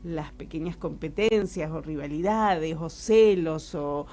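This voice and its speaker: A woman with an Argentine Spanish accent talking casually as an interview guest, reflective and expressive, often searching for her words. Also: low-pitched, a little slow. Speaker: guest, actress and playwright.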